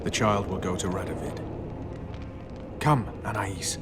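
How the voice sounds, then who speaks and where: An actor playing a hard, stubborn military commander in a video game, speaking in a blunt, terse way. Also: male voice, sits low, measured pace, background noise. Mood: resolute, grim.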